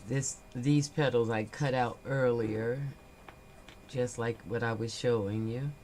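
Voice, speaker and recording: A woman with a slightly nasal, stuffed-up voice from a cold, chatting slowly and unhurriedly as she crafts on a livestream. She narrates each small step while she works, with a faint hum of noise in the background. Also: extremely low pitch, steady tone, mellow. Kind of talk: relaxed crafting livestream chat.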